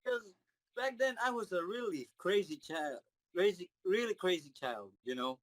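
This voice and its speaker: A young man, answering interview questions in a shy, halting way with many fillers. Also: high voice, lively intonation, a bit bright, dry recording. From Philippines. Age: 20s. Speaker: interview guest, singer.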